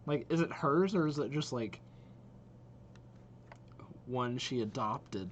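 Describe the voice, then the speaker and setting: A man hosting a reaction video with casual, impressed commentary, thinking out loud as he watches. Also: mid-range voice, lively intonation, slow pace, a little hiss or hum. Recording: game trailer music playing behind. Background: game trailer audio and music.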